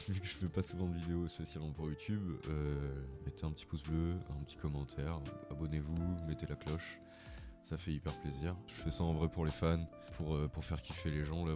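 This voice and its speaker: A man with a cold, talking in an informal, rambling way in a casual video tutorial. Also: a bass voice. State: has a cold. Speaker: vlogger. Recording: music playing behind the voice.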